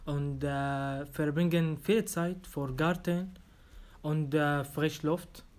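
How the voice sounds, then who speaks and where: A man in his twenties from Syria with an Arabic accent, speaking haltingly with many fillers and restarts as a candidate in a practice language exam, in an office room. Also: fairly high pitch, steady tone, darkish tone. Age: 20s. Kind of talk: simulated oral language exam.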